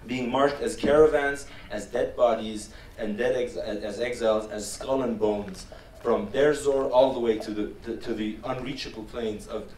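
A man's voice: medium pitch, a little mellow. A middle-aged man from Armenia gives an earnest public lecture, speaking emphatically and piling up words in lists. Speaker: filmmaker giving a lecture. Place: wood-panelled lecture room, indoors. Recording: roomy hall, distant mic, faint noise.

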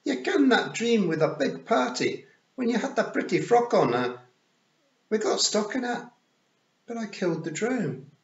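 A man voicing a bold, cheerful little pixie clan leader, loud and emphatic, with a Scottish accent, in a storybook reading. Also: high voice, sing-song, unhurried, reverberant, highs cut off, pitched above the performer's own voice. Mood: cheerful, assertive. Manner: emphatic, rough-and-ready.

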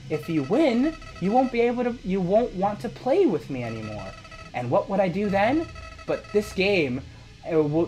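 A woman's voice: fairly low pitch, a little mellow, low-quality recording. A woman voicing Flowey, a sneering evil flower. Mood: menacing. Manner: gloating, menacing, cackling. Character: sneering evil talking flower, small male villain.

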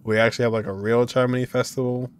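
A young man reacting to a video game at his desk, asking quick questions and muttering comments. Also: mid-range voice, flat delivery, slight background noise. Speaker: reaction streamer. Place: indoor room at a desk.

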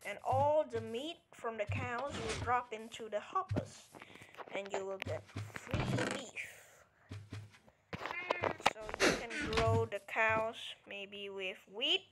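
A woman's voice: on the high side, takes it slowly, background noise. A woman giving a casual step-by-step game tutorial, counting items aloud and checking in with "alright?" as she goes. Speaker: tutorial creator.

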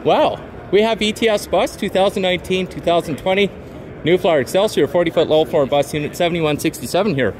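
Excitable Canadian man touring buses. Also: sits high. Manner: excited, halting, repeats bus details. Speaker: transit vlogger. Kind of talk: casual touring vlog. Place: bus display in a convention centre, indoors.

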